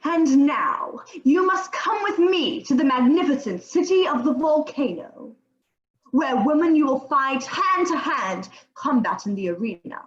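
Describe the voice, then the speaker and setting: A woman plays a grandiose alien ruler in a campy sci-fi serial. She declaims imperiously in a high voice, heard through a dull, echoing recording. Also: expressive, unhurried.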